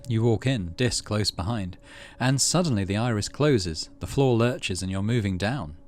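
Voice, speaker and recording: A middle-aged man doing let's play commentary, muttering asides and reading game narration aloud in a measured storytelling way. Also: lowish voice, lively intonation, slight background noise. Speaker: gaming video host.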